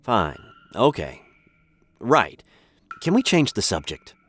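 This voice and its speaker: A man's voice: fairly low pitch, big pitch swings, slow, a bit bright, no echo, exaggerated voice. A man playing an exasperated, awkward American captive in a radio sitcom, polite but indignant, with an American accent. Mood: uneasy, reluctant. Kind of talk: comedy radio sitcom acting.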